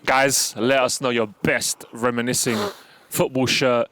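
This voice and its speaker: A man's voice: mid-range voice, little pitch movement, measured pace, a bit bright, a little hiss or hum. A man with a London accent co-hosting a casual football chat, bantering with plenty of slang. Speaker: football show co-host.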